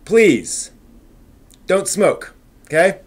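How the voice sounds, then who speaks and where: A man presenting news as a live stream host in an informal way, with somewhat halting delivery. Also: high voice, sing-song, breathy edge.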